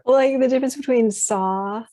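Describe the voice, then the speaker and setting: A woman with a West Coast US accent teaching phonetics on a video call, gently correcting details and encouraging students. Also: fairly high pitch, moderate pace, no echo, studio quality. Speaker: phonetics instructor. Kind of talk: online class discussion.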